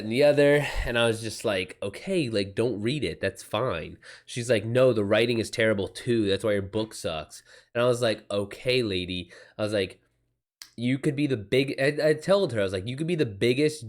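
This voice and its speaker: A man chatting informally as an author on a livestream, rambling in loose, slangy, sweary talk. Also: on the low side. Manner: rambling, retells exchanges in quoted back-and-forth. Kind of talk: casual livestream chat with anecdotes.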